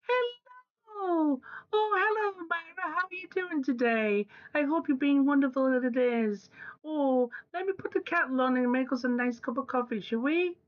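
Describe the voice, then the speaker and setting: A man putting on the voice of a chatty, hospitable old granny in a high, sing-song falsetto, fussing over a guest. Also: normal speed. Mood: doting. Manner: fussy, doting, sing-song. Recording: dull lo-fi audio.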